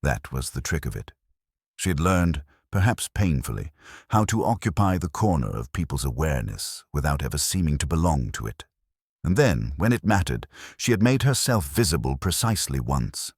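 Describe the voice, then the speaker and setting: A man's voice: rumbling low, very animated, normal speed, breathy edge, no room sound, studio quality. A man reading scripted narration of a detective mystery story.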